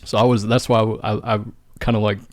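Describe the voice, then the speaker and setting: A man's voice: lowish voice, flat delivery, a little fast, no echo, clean studio sound. A young man from St. Louis hosting a podcast, chatting in a laid-back, rambling way with lots of "like". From St. Louis, Midwest US. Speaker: podcast host. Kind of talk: casual conversation.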